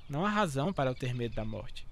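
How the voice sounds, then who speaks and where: A man's voice: medium pitch, sing-song, quick pace, a bit bright, slight background noise. An actor playing a caring, devout young man, an older brother, speaking earnestly in a radio drama. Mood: earnest, calm.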